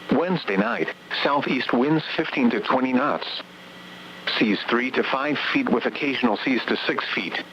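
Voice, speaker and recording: A synthetic male text-to-speech voice reading scripted weather bulletins as an automated radio announcer, impersonal and formulaic, in clipped segments. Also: medium pitch. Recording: muffled, noisy weather radio broadcast.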